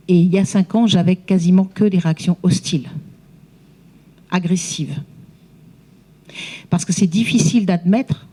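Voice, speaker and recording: A woman with a warm, dark-toned voice speaks as a teacher trainer in a seminar debate. She explains things off the cuff, restating key words for emphasis. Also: lowish voice. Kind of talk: spontaneous remarks in a seminar debate.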